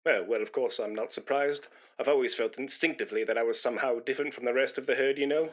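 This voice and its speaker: A man's voice: mid-range voice, steady tone, quick pace, natural voice. An actor playing a pompous, self-important man in a British radio comedy, blustering and indignant, with a matey know-all manner. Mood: smug, self-important.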